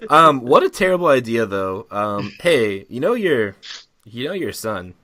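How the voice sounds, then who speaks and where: A man's voice: medium pitch, huge pitch swings, takes it slowly, sharp tone, dry recording. A man in a casual comedic podcast chat, playfully reacting with mock earnestness. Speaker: podcast panelist.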